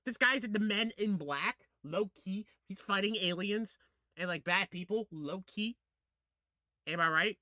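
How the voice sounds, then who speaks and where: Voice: mid-range voice, measured pace, bright, forceful tone, no echo, studio quality. A gaming video host reading a visual novel aloud and adding slangy, casual commentary, stumbling over words now and then. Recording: music playing behind the voice. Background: music.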